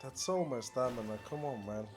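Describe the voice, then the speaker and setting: A man's voice: mid-range voice, animated, moderate pace, music in the background. A man giving casual, playful commentary while playing a video game. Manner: joking, playful. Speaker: game streamer.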